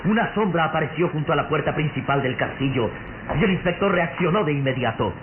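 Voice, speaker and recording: A man narrating a radio drama in a theatrical, suspenseful style with deliberate phrasing. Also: a little high, animated. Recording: noisy, tinny old radio drama recording.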